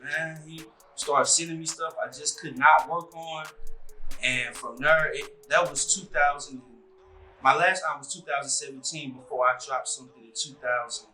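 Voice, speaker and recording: A man in his thirties, a musician being interviewed, talking in a relaxed, rambling way full of "like" and "you know". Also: on the high side, slight background noise. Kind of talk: casual interview chat. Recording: echoey room with faint music.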